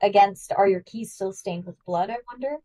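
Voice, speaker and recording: A woman's voice: medium pitch, moderate pace, dark tone, distant mic. A woman guest-hosting a podcast, talking through ideas in an eager, analytical way with lots of fillers. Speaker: guest host. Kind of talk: casual podcast discussion.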